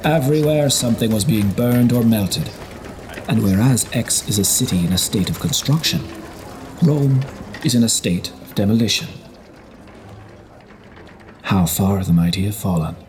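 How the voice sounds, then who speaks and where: A man reading a historical novel as scripted narration, in a measured storytelling delivery. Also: lowish voice, lively intonation, darkish tone, a little hiss or hum. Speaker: audiobook narrator.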